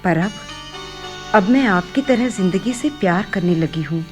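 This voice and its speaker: An actress playing a sincere, hopeful young daughter in a radio play, gentle and halting. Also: female voice, medium pitch, animated, soft background music, natural voice. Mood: hopeful, tender. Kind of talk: Hindi radio play acting.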